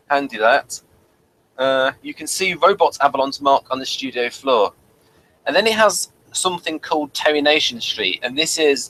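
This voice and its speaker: A man's voice: mid-range voice, pushed and bright, rough recording. A man hosting a conversational review, reading notes aloud and adding dry, wry asides. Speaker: review show host.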